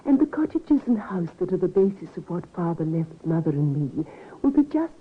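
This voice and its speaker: Low-toned, swooping voice, gentle frail middle-aged woman, earnest, vintage radio drama. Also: sing-song, moderate pace. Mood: insistent. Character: earnest, frail middle-aged daughter settling her will. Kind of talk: old-time radio drama acting. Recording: noisy, narrow-band vintage radio recording.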